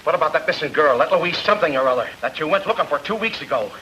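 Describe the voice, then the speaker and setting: A blustering, high-pitched radio boss. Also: male voice, lively intonation, racing, bright, forceful tone. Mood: irritated. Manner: exasperated, sputtering. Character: blustering, irascible male detective agency boss. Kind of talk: old-time radio comedy acting. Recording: noisy vintage radio recording with clicks.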